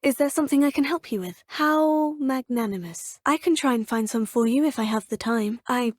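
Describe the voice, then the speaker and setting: A woman's voice: a little high, normal speed, dry recording, natural voice. A woman voicing a young, polite and curious student witch in a video game, speaking in a composed, formal manner. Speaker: player character. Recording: dry studio booth.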